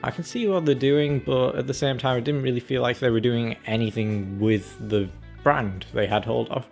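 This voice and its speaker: Chatty man with creaky voice reviewing trailers. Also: mid-range voice. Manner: chatty, acts out reactions and quotes. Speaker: film and game review channel host. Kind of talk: casual trailer review commentary.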